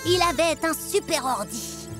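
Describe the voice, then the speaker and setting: High, animated mischievous cartoon boy. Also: unhurried, over loud music. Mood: theatrical storytelling. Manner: theatrical, cheeky, sing-song rhyming. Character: excitable, mischievous young boy narrating tales. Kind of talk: animated cartoon dub, rhymed storytelling.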